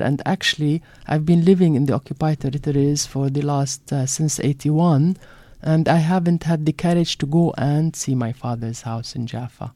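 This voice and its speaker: Middle-aged woman with an Arabic accent, mellow, dry. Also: deep voice, dry recording. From Jordan (Amman). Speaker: author, interview guest. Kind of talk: personal storytelling in an interview. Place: broadcast studio.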